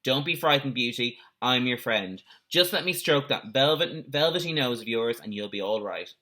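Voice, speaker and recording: A man's voice: medium pitch, lively intonation, normal speed, sharp tone. A man voicing a brave, kind farm boy from a children's storybook, earnest and reassuring. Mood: soothing.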